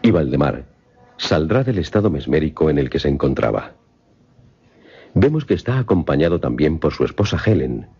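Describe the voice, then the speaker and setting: Very deep-voiced male narrator, measured and formal. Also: mellow, husky. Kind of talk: scripted film synopsis narration. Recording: slightly muffled voice-over with music. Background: music.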